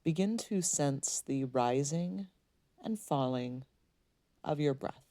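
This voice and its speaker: Woman doing guided meditation, really deep for a lady, soft mellow tone, soothing, close dry sound. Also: lively intonation, no room sound. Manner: soothing, gently instructing, unhurried pauses.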